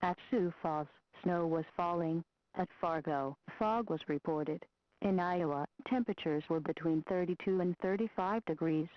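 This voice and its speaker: Female weather radio voice, lowish, flat even readout of conditions, dry lo-fi audio. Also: moderate pace, no echo. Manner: flat, even listing of observations. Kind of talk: automated weather observations readout.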